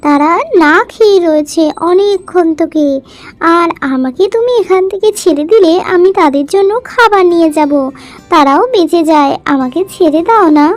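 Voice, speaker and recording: A woman's voice: extremely high pitch, moderate pace. A woman voicing a small mother bird in a children's cartoon, pleading anxiously and coaxingly for her chicks. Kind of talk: children's cartoon story voice acting.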